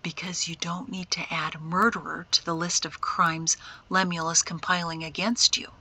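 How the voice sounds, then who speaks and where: A woman voicing a calm, wry old mentor in an audiobook, breathy and half-whispered, unhurried and gently chiding. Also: fairly low pitch, full character voice. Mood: quietly stern. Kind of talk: audiobook character acting.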